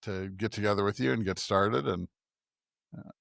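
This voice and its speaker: A man's voice: on the low side, moderate pace, vocal fry, fairly bright tone, dry recording. Conversational American man, dry close sound. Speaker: podcast co-host and pastor. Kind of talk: casual podcast chat.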